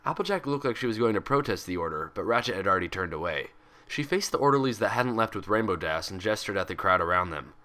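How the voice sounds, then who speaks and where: A man's voice: on the low side, expressive, quick pace, bright, forceful tone. A man reading a story aloud as a scripted narration. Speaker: fan fiction reader and host.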